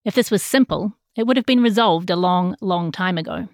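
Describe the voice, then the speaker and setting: A woman's voice: fairly low pitch, brisk, no echo, studio quality. A woman with a New Zealand accent reads a written news feature as a journalist, in scripted narration.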